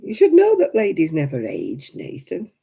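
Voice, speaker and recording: A woman in her seventies hosting a crafting livestream, chatting homely and warmly with viewers by name and laughing often. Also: fairly low pitch, huge pitch swings, normal speed, gravelly, slightly rough. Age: 70s. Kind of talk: casual chat reading out viewer comments.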